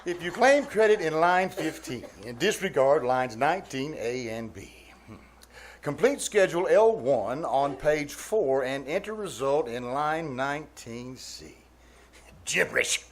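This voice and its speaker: A man's voice: on the high side, expressive, unhurried, a little hiss or hum. A man playing a pompous, easily flustered middle-aged uncle in 1940s radio comedy style, muttering and sputtering. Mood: muttering, befuddled.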